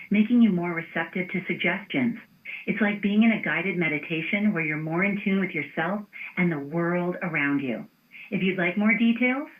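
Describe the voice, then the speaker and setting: A woman's synthetic voice working as an automated phone receptionist, polite and formulaic in scripted customer-service phrases. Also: lowish voice. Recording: tinny phone line.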